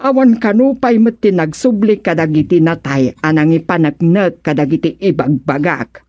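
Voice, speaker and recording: Devout, exasperated niece, dry studio sound. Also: female voice, low-pitched, moderate pace, darkish tone, dry recording. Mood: dismayed, complaining. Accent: Filipino. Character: devout, exasperated niece worried about a wayward uncle. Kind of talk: Filipino radio serial drama acting.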